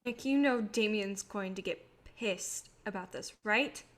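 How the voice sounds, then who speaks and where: A woman's voice: mid-range voice, unhurried, close to an everyday voice. A woman in a staged play reading, playing an exasperated but level-headed film colleague who is reproving and insistent. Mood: firm, reproving.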